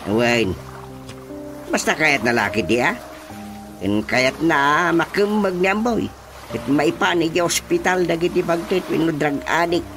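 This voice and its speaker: A man's voice: a little high, a little slow, over music. An actor playing a scheming, bossy village chairman in a radio comedy drama.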